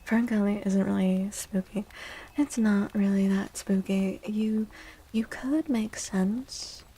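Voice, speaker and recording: A woman speaking softly and soothingly in a whispery, breathy voice, as an ASMR livestream host. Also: mid-range voice, low-quality recording. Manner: gentle, soothing, unhurried. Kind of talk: soft-spoken ASMR, tarot reading and chatting with viewers.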